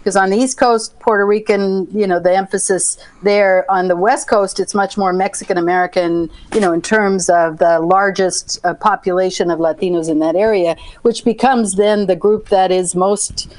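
A woman's voice: mid-range voice, steady tone, moderate pace, hardly any pauses, darkish tone. A woman speaking as a guest researcher in an interview, explaining her points reflectively and with emphasis.

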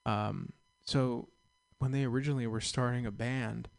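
A man's voice: mid-range voice, fairly even intonation, normal speed, gravelly. A man hosting a radio show, chatting casually in a rambling, easygoing way with lots of fillers. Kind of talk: casual chat. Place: radio studio.

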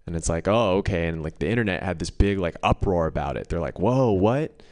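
A man's voice: deep voice, fast. A man performing a live stand-up set in a conversational, storytelling way. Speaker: stand-up comedian.

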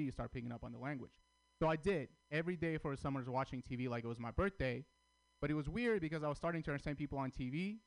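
A man's voice: fairly high pitch, low-quality recording. Immigrant man doing crude stand-up. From Armenia. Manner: deadpan, crude punchlines. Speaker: stand-up comedian. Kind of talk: stand-up routine at open mic.

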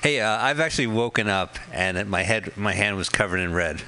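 A man's voice: fairly low pitch, lively intonation, a little fast, bright, forceful tone, rough recording. A man co-hosting a radio show, joking and riffing casually while watching a movie. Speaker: radio co-host.

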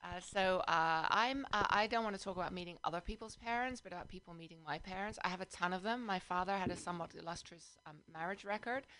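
A woman from the Netherlands, a talk show guest, speaks in a lowish voice. She meanders through an anecdote with pauses and ums. The sound is dry and close. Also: fairly bright tone, no echo, rough recording. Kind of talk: casual chat.

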